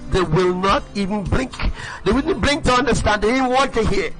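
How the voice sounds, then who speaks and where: High-voiced man preaching with fervent repetition. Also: lively intonation, moderate pace, over music. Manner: emphatic and repetitive, asks the audience "right?". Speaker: livestream preacher. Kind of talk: impassioned livestream preaching.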